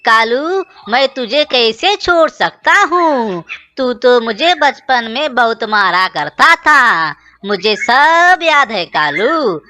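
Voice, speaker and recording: A bullying owl out for revenge in a children's cartoon , played by a woman. The delivery is slow and menacing, in a fairly high, sharp voice that taunts. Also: sing-song, no room sound, full character voice. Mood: vengeful. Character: vengeful bullying male owl, taunting old enemy. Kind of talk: children's cartoon story dialogue.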